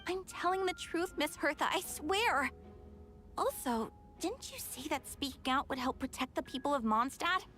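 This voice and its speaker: High-voiced, anxious woman witness. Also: lively intonation, moderate pace, pushed and bright, soft background music. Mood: pleading, insistent. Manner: hesitant, earnest, pleading. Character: anxious, earnest young woman witness testifying in court. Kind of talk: video game voice acting.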